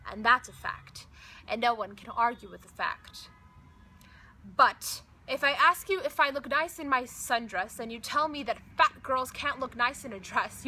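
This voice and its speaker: High-voiced young woman performing slam poetry. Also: pushed and bright, a little hiss or hum, poor audio. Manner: impassioned, emphatic, rhythmic delivery with dramatic pauses. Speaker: slam poet.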